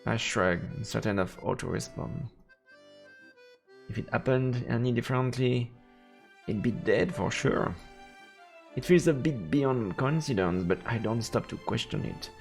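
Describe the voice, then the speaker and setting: A man's voice: mid-range voice, expressive, unhurried, gravelly, long pauses, soft background music. A man streaming a visual novel, reading the narration aloud and adding casual reactions.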